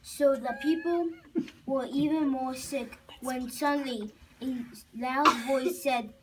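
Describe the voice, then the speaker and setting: Slow, high young voice narrating from a distance. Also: fairly even intonation. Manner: halting, repeats and restarts phrases. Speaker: puppet show narrator. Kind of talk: live storytelling narration of a homemade puppet show. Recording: distant, faintly noisy home recording.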